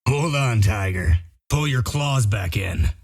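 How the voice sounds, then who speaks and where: A man playing a gruff, shrewd wolf detective in a comic dub, explaining things in a methodical, sardonic way. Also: mid-range voice, expressive, takes it slowly, darkish tone. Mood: firm.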